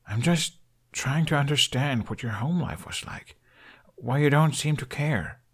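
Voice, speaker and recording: A man's voice: mid-range voice, measured pace, breathy edge, pitched above the performer's own voice. An earnest young male Viking, acted out by an audiobook narrator, caring and stubbornly persistent. He presses his questions with feeling in a fantasy story. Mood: gently pressing.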